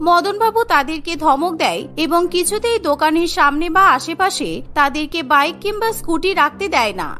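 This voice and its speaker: A woman's voice: extremely high pitch, animated, brisk, few pauses, sharp tone, soft background music. A woman narrating a scripted cartoon story.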